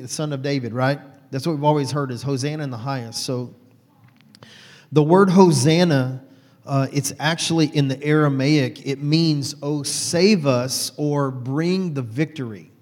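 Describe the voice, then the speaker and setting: A man's voice: medium pitch, a little mellow. A man in his forties giving an informal, rambling sermon to a small group, often stopping to correct himself. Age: 40s. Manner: rambling, self-correcting, many fillers. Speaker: lay preacher. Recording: long hall reverb.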